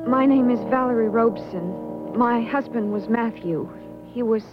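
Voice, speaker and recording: An actress playing an earnest, anguished young widow in a radio drama. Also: female voice, fairly high pitch, moderate pace, over music, close to an everyday voice. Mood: troubled, earnest. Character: anguished young widow in her early twenties. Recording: dull old radio broadcast.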